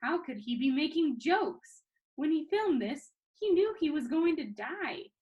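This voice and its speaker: Animated, higher-pitched woman reading aloud. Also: measured pace, muffled recording. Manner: informal, chatty asides. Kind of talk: expressive storybook narration.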